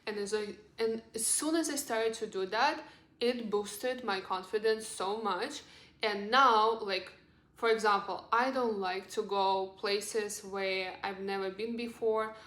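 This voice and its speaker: A woman's voice: mid-range voice, a little slow, a bit bright. Young Russian-accented woman, roomy echo. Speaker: lifestyle vlogger. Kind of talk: casual advice vlog to camera. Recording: roomy, echoing sound.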